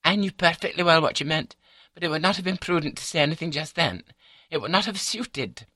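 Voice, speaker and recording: A man's voice: fairly high pitch, lively intonation, fairly quick, sharp tone, dry recording, voice pushed up. A man voicing a prim, scatterbrained elderly Scottish wife in an audiobook, prattling on in an unruffled, matter-of-fact way with a Scottish accent. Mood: calm, assured. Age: older.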